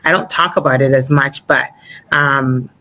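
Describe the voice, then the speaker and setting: A woman in her forties, born in Ghana, chatting as an interview guest in a relaxed way, with easy laughter and plenty of fillers. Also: very deep voice, measured pace, rough recording. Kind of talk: casual interview talk.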